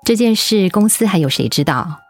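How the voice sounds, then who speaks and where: An actress playing a caring, gently earnest cancer survivor advising a colleague in a radio drama. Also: female voice, medium pitch, lively intonation, moderate pace, dry recording, soft background music. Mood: gentle, encouraging. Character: caring older female colleague who survived breast cancer.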